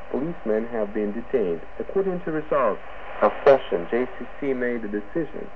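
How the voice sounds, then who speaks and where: A man formally reads a scripted news bulletin as a radio presenter, breaking his sentences into halting phrases. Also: medium pitch. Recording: noisy, narrow-band shortwave radio broadcast.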